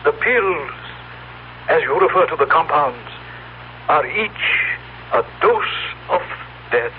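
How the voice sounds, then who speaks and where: An actor playing a cold, proud exterminator from the future, speaking in stilted, formal, precise phrases in a radio drama. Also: male voice, fairly high pitch, expressive, slow. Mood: coldly proud. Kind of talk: 1970s radio drama acting. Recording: noisy, tinny old radio broadcast.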